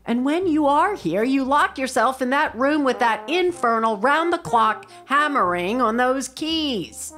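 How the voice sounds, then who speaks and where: A woman's voice: sits high, lively intonation, measured pace, hardly any pauses, fairly bright tone, faint music behind. An actress playing a demanding, melodramatic, complaining middle-aged mother in a radio drama, nagging and querulous. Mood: bitter, accusing. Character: demanding, complaining, possessive mother of a grown son.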